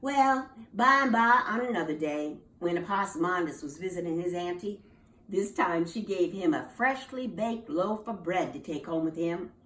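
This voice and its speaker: A woman telling a children's story aloud in an animated, rhythmic way, with repeated phrases and dramatic pauses. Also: fairly low pitch. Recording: echoey room, distant from mic.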